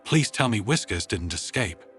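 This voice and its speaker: A man's voice: lowish voice, sing-song, normal speed, a bit bright, breathy edge, soft background music, heavily acted. A man voicing an earnest male scientist who explains things like a lecturer, in a sci-fi story. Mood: worried.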